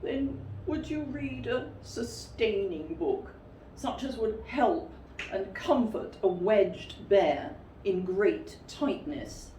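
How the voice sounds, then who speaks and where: A woman voicing a plaintive, gloomy little male bear in a storybook, in a slow, fretful, exclamatory way. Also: mid-range voice, animated, mellow. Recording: roomy, distant mic with faint noise.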